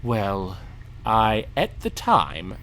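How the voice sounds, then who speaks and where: A man's voice: fairly low pitch, big pitch swings, very slow, bright, forceful tone, a little hiss or hum, full character voice. A man acting in an audio drama as a somber, guilt-laden captain and engineer, blunt yet hesitant. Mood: uneasy.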